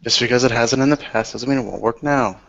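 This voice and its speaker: A man with a middle-pitched voice plays his character in a tabletop game. He speaks calmly and in a measured, knowing way. The lo-fi recording sounds dull. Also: gravelly. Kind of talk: in-character roleplay talk.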